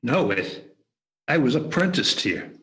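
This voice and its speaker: A man voicing a remorseful old miser, halting and hesitant, in an amateur radio play read over a video call. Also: mid-range voice, sing-song, fairly bright tone. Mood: wistful.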